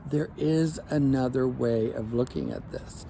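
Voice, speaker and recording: A man, a life coach, speaking slowly and reflectively in an evening vlog recorded outdoors on a phone. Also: mid-range voice, creaky, dark tone, a little hiss or hum, poor audio. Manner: slow, measured, reflective.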